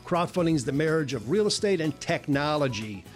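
A man presenting his own company in a scripted radio advertisement, with a deliberate sales delivery. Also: on the high side, lively intonation. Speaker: company chief executive. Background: light guitar music.

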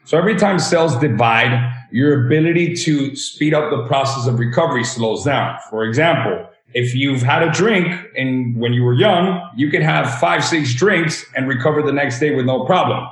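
A man's voice: medium pitch, normal speed, few pauses. A man in his thirties from Miami, explaining earnestly as an interview guest. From Miami, Florida; born in Venezuela. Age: 30s. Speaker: guest, age management practitioner. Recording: roomy, echoey sound.

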